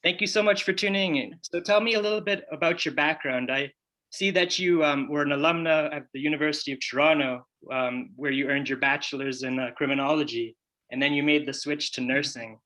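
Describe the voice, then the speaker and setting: A man's voice: on the high side, steady tone, a little fast, pushed and bright, rough recording. A man hosting a casual interview podcast, friendly, stumbling a little with fillers as he asks questions. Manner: friendly, with many fillers and restarts when asking. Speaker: podcast host.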